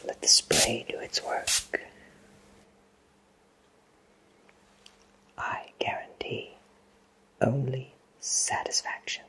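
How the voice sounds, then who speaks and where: A man in his thirties voicing a kindly fantasy potion shopkeeper in a soothing, breathy ASMR whisper. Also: mid-range voice, little pitch movement, sharp tone, exaggerated voice, low-quality recording. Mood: reassuring, helpful. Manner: slow, soothing, reassuring. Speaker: ASMR roleplayer.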